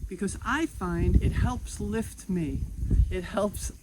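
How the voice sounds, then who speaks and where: Middle-aged woman from New York, unhurried uplifting talker, recorded outdoors with clear background noise. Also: mid-range voice. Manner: slow, earnest and encouraging. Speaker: video host. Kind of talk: inspirational personal talk.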